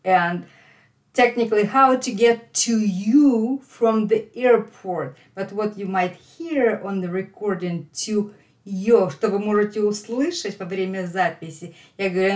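Russian lady english teacher, middle aged, talks slow, repeats stuff for learners, medium pitch. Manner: slow, emphatic repetition for learners. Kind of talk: lesson explaining a phone call line by line.

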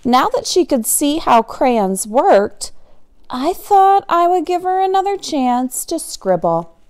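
Very expressive woman reading a picture book. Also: high-pitched. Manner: dramatic, expressive, drawn-out emphasis on key words. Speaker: teacher reading aloud to children. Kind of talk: animated picture-book read-aloud.

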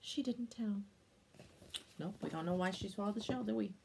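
A woman in her thirties reading a picture book aloud to children, expressive, with exclamations and chatty explanatory asides. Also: mid-range voice, moderate pace, gravelly, background noise.

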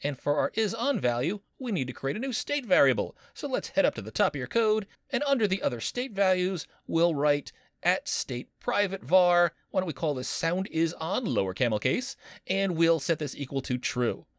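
A man's voice: fairly high pitch, very animated, normal speed, no echo, clean studio sound. A man teaching a screen-recorded coding lesson as a university instructor, upbeat and playful with jokey wordplay.

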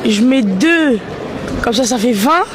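A young man answering a street interview outdoors, hesitant and counting aloud slowly into a handheld mic. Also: very high voice, expressive, background noise. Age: young adult. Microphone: interviewer's handheld microphone.

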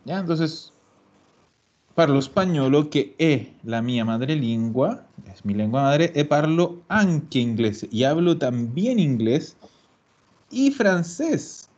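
A man teaching Italian in an online class, patient and explaining slowly, repeating words. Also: fairly high pitch, very animated, a little mellow. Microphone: video call. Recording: dull lo-fi call audio.

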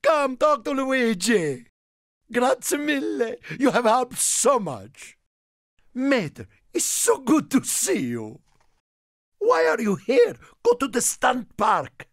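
A man voicing a small, excitable, friendly Italian car in a video game, theatrical and exclamatory, with an Italian accent and broken English. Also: extremely high pitch, slow pace, dry recording, studio quality, full character voice. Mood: welcoming. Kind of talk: animated video game character lines.

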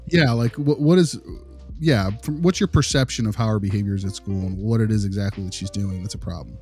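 A man's voice: on the low side, brisk, darkish tone, soft background music. A man speaking as a behavior analyst in an improvised phone role-play, polite and explanatory with hesitant phrasing. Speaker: behavior analyst, podcast host.

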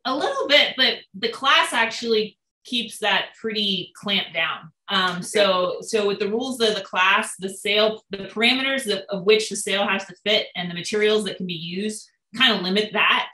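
A woman's voice: mid-range voice, moderate pace, bright, forceful tone. A young woman answering interview questions over a video call, explaining steadily with frequent ums. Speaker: boat builder guest. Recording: roomy video call audio.

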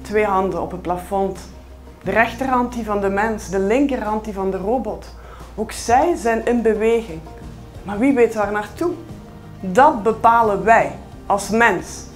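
A woman in her forties with a Flemish accent, giving a keynote speech on stage with a headset mic, measured and emphatic with rhetorical pauses. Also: mid-range voice, faint music behind. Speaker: keynote speaker. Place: large conference hall on stage.

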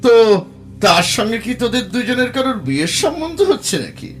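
An actor playing a teasing, sceptical young husband in an audio drama, bantering and sardonic. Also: male voice, very high voice, big pitch swings, moderate pace, faint music behind. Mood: mocking.

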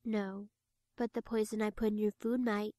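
A woman voicing a morbid young girl in a cartoon, with a straight-faced deadpan manner. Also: medium pitch, normal speed, close to an everyday voice. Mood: flat, morbid.

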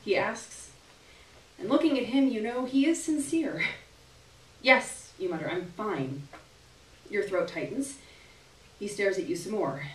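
A young adult woman giving an expressive, dramatic reading of literary fiction on stage, acting out the dialogue. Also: medium pitch, unhurried, long pauses. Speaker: performer reading a short story. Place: small indoor gallery with audience. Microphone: camera at a distance from stage. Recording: echoey room, distant microphone.

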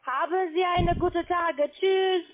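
A non-native German-speaking woman with a foreign accent, making a sales pitch as a call-centre agent. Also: fairly even intonation, takes it slowly, crowd noise. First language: not German. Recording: tinny narrowband phone line.

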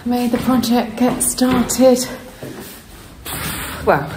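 A woman's voice: a little high, steady tone, drawn-out words, noisy background. A middle-aged woman chatting casually into a phone held at arm's length, laughing often and poking fun at herself. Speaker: vlogger renovating a palazzo. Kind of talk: casual vlog chat. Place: city streets at night and a busy bar. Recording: music and crowd chatter behind.

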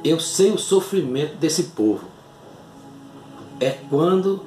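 A man's voice: fairly high pitch, darkish tone, roomy sound. An older man from Northeast Brazil with a Northeastern Brazilian accent, a doctor making an earnest, pleading appeal straight to camera from a small home office. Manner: earnest, pleading, gestures broadly with both hands. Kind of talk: impassioned direct-to-camera appeal.

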